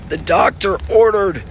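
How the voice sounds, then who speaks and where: A hoarse male nurse, losing his voice, giving an angry, profane video appeal and clearing his throat often. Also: high-pitched, a bit bright, a little hiss or hum. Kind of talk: direct-to-camera video appeal.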